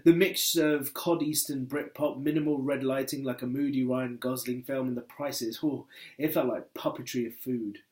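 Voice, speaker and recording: A man's voice: medium pitch, steady tone, moderate pace, a little mellow. A man reading a personal essay aloud in a wry, conversational way, leaning on key words for emphasis. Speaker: book editor and essayist reading his work.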